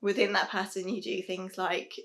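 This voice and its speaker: A young British woman chats to a camera set up in a small sewing room at home. Her voice is a little croaky and worn. She rambles in a friendly way, full of ums and soft laughs. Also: mid-range voice, little pitch movement, normal speed. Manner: chatty, many "um"s, soft laughs. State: hoarse, losing her voice. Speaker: sewing vlogger. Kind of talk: casual chat to camera.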